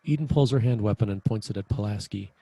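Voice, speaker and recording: A man's voice: fairly low pitch, fast talker, warm tone, husky, no echo. A man reading scripted stage directions as the narrator of a screenplay table read.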